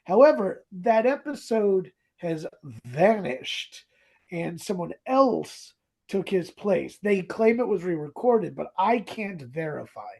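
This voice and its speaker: A husky podcast co-host with a very animated delivery, swinging up and down in pitch. The talk is casual and comic, full of playful mock drama, with some creak in the voice. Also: slow. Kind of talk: casual comedic chat about old radio drama.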